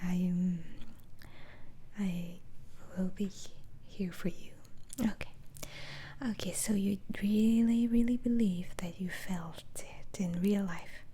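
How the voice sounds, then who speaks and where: A woman whispering softly and breathily in an ASMR roleplay as a gentle, reassuring doctor, asking questions in a hesitant way. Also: medium pitch, steady tone, takes it slowly, a little hiss or hum. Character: calm, reassuring asylum doctor questioning a patient.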